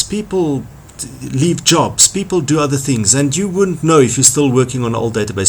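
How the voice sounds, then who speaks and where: A man's voice: mid-range voice, a little hiss or hum. A man presenting an instructional talk as a trainer, speaking emphatically and repeating key phrases for stress. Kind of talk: instructional talk on workplace skills.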